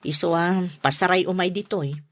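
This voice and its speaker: An actress in an Ilocano radio drama playing a skeptical, scolding elder sister with a chiding, matter-of-fact manner. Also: female voice, lowish voice, steady tone, normal speed, natural voice. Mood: wary, explaining.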